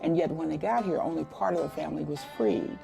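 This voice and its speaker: A woman's voice: deep voice, fast, creaky. A woman explaining a piece of history as a documentary interviewee. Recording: guitar music behind the voice.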